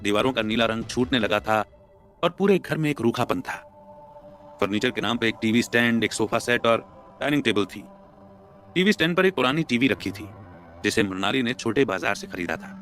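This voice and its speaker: A man's voice: medium pitch, expressive, moderate pace, a bit bright. A man narrating a scripted audio story as a storyteller. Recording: music bed under the voice. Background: background music.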